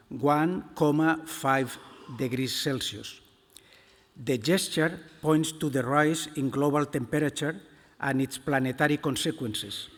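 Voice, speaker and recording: An older man with a Spanish accent reads his lecture slowly, pausing and restarting as he finds his words. His voice sits a touch high for a man. The sound carries the long reverb of a large conference hall. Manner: slow and deliberate, with many hesitations. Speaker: keynote speaker.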